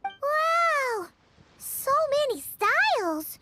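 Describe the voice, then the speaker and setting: A woman's voice: very high voice, very animated, extremely slow, very noisy, exaggerated voice. A woman voicing a tiny, childlike fairy companion in a video game, exclamatory, cheeky and blunt. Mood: excited, curious. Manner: exclamatory, childlike, refers to self by name. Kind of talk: scripted video game voice acting. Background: music.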